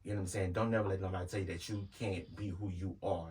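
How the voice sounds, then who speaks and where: A man's voice: low voice, steady tone, fast, darkish tone, recorded from a distance. A man, talking candidly as an interview guest and repeating himself earnestly. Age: 30s. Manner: earnest, repeats himself, heavy with "you know what I'm saying". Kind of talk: casual candid conversation.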